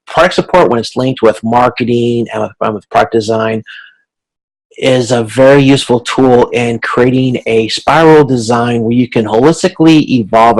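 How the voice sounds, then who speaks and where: A male instructor delivers an informal online-style lecture in a creaky, gravelly voice. His pitch sits in an ordinary middle range. He explains step by step in a halting way, often restating and correcting his phrasing. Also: low-quality recording. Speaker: college course instructor.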